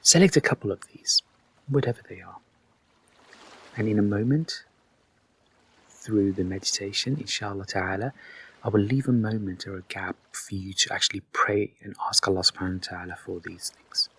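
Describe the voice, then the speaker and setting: A man with a fairly low, husky voice guides a meditation. His delivery is soft and breathy, with gentle, unhurried instructions addressed reassuringly to the listener. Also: low-quality recording.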